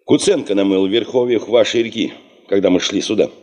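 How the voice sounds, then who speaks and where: An actor in a Soviet radio drama playing a middle-aged expedition boss, self-assured and probing, speaking deliberately with dry irony. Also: male voice, fairly low pitch, a little mellow, natural voice. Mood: deliberate, insistent.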